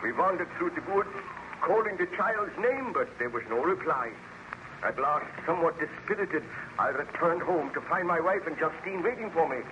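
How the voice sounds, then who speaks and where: A man's voice: on the high side, lively intonation, moderate pace, lightly acted. An actor playing a weary, haunted baron who tells his tragic story in a formal, theatrical 1930s radio style. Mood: dispirited urgency. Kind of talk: 1930s radio drama acting. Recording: muffled, noisy old radio recording.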